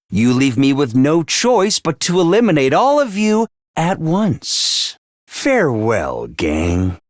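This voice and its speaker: An actor playing a theatrical male academy commander who swings from booming cheer to menace, in a game. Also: a bit bright, no room sound, studio quality, exaggerated voice. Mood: menacing. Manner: booming, over-the-top cheer. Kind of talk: video game voice lines.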